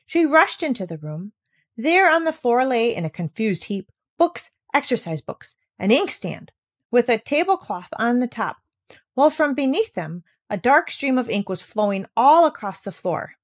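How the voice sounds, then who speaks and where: A woman narrating a children's storybook aloud as an audiobook, with expressive delivery. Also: a little high, normal speed, no echo, studio quality.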